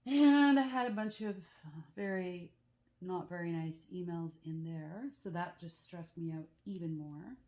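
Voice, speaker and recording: A woman chatting casually at home in a meandering, confiding way, her voice rough and congested from a cold. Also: on the low side, unhurried, warm tone. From Canada. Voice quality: rough, croaky. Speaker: craft vlogger. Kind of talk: casual chat while crafting. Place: at home with the back door open.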